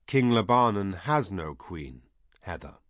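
A grave, kindly old wizard, voiced by a male narrator, speaking slowly and gently. He is measured and wry, a storybook figure in a close, dry recording. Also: low-pitched, very animated, no echo, exaggerated voice. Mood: gentle, wry. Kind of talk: audiobook character voice.

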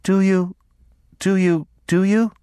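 A man's voice: high voice, fairly even intonation, takes it slowly, dark tone, clean tone, no room sound, clean studio sound, voice pushed up. A simple-minded young girl in an audiobook, played by a man, speaks in a raised, thick, weak voice. She sounds childlike and parrots her phrases over and over. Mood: confused, parroting. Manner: repetitive, childlike. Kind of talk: audiobook character voice.

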